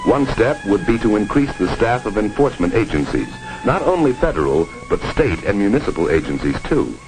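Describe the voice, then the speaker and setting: A man's voice: lowish voice, big pitch swings, a little fast, low-quality recording. An American man reading a scripted 1950s educational film narration in a grave, formal, emphatic manner. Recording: old 1950s film soundtrack. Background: music.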